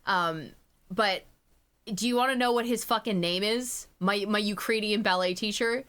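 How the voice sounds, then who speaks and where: A woman's voice: medium pitch, normal speed, a bit bright, clean tone. A young woman streaming a game, chatting casually, giggling and swearing freely. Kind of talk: casual chat.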